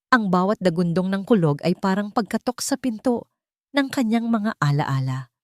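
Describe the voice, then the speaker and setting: Woman storyteller, low dry voice, Filipino accent. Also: darkish tone, no echo. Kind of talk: dramatic scripted story narration.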